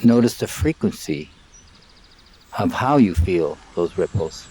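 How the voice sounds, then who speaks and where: A man leading a live guided meditation in a calm, measured way, in short phrases with long pauses, outdoors. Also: sits low, breathy edge. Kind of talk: live guided meditation, spoken softly and unscripted. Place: outdoors by water and trees.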